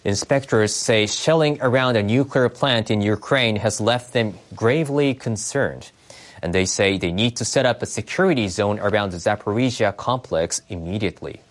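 A man reading scripted news reports as a newsreader, in a formal, measured way. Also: on the low side.

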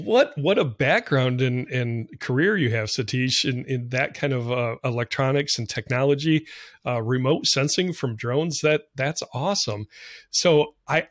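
An upbeat man hosts a podcast in a mid-pitched voice. He chats and laughs freely as he introduces and questions his guest. The sound is dry and close. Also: no echo. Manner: upbeat and chatty, laughs often. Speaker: podcast host. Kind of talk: casual podcast interview.

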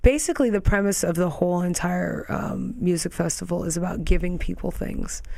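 A young woman with a raspy voice, chatting as an interview guest and drifting into quick tangents and restarts. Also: fairly low pitch, darkish tone, no echo. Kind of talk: casual interview chat.

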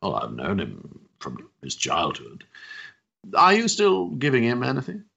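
An actor playing a genial, gossiping older Victorian society doctor, with fussy, hesitant, pompous politeness in a period stage drama. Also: male voice, mid-range voice, wildly swooping pitch, a little slow, creaky, sharp tone, husky, highs cut off, heavily acted. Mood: gossipy, hesitant.

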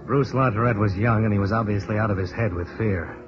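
An actor playing a steady, tough investigator in a 1950s radio detective drama, clipped and matter-of-fact. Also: male voice, on the low side, fast talker, music in the background, close to an everyday voice. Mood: grim, matter-of-fact. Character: steady, tough investigator hero who narrates his case. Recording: noisy, dull old radio recording.

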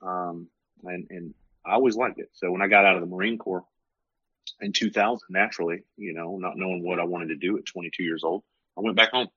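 A man's voice: low voice, steady tone, brisk, breathy edge, no echo, dull audio. A man in his forties with a Southern US accent, from South Carolina, telling folksy, joking stories as an interview guest. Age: 40s. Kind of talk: casual interview chat.

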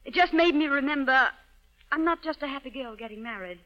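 Troubled young fugitive in love, high voice, dull old radio sound. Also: female voice, animated, fairly quick. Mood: wistful, troubled. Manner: earnest, troubled. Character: haunted, earnest young fugitive woman in love. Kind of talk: 1930s radio acting.

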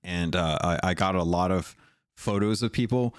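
Male podcast host, low voice, dry close sound, unscripted and enthusiastic, rambling delivery. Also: moderate pace, clear, resonant tone, no room sound. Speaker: podcast host and content creator. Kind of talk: casual unscripted talk about a gaming fan convention. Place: home office.

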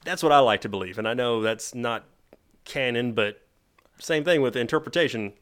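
A man co-hosting a podcast in casual chat, excitable, laughing often and swearing now and then. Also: mid-range voice, normal speed. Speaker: podcast co-host.

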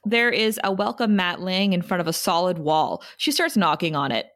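A woman's voice: fairly low pitch, lively intonation, normal speed, a bit bright. A woman narrating stage directions for a scripted table read.

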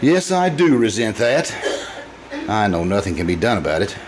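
A man's voice: on the low side, very animated, moderate pace, noisy background. An actor playing an anxious, troubled businessman in an old-time radio drama, speaking hesitantly with stammers. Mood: uneasy, resentful. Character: troubled, anxious businessman confessing violent urges to his doctor.

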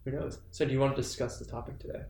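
A man's voice: medium pitch, fairly even intonation, racing, vocal fry, a little mellow, a little hiss or hum. Teasing young man hosting, roomy sound. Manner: teasing, joking banter. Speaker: podcast host. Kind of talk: casual chat. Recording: roomy echo, faint music.

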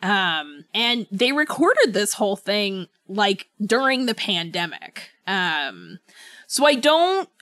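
A woman's voice: medium pitch, huge pitch swings, slow pace, fairly bright tone, no room sound. A woman co-hosting a podcast, chatting informally and ramblingly, with lots of "like" and "you know". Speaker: podcast co-host. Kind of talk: casual book club chat.

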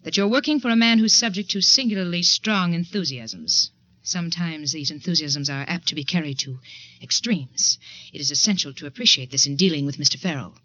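Prim resentful secretary, American woman, forceful clipped formality, 1940s radio drama, dull vintage sound. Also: sits low, fairly quick, no echo. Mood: primly warning. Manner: formal, precise, icily polite. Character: prim, resentful female secretary, coolly insinuating. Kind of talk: 1940s radio suspense acting.

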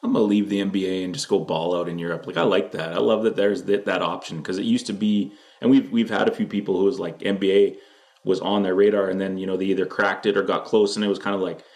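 A man with a low-pitched voice hosts a sports podcast. He asks questions casually and jokingly, in loose, slangy language. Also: steady tone, quick pace, a little mellow. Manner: informal, jokey, slangy. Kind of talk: casual interview chat.

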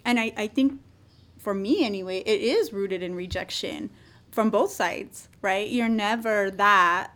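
A woman hosts a podcast and speaks in a measured, thoughtful manner. Her pitch sits in a middle range for a woman. The setting is a relaxed conversation with a guest. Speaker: podcast host. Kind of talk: casual interview conversation.

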